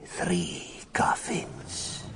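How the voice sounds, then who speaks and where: An actor playing a sinister, eerily courteous illusionist in a radio drama, speaking formally and ominously in a breathy whisper. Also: male voice, fairly bright tone. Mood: quiet menace. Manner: slow, formal, ominous delivery.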